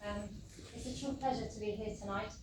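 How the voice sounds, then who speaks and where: A woman's voice: mid-range voice, fairly even intonation, a little fast, background noise. Woman doctor accepting an award, echoey. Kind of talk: acceptance speech. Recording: echoey hall from far off.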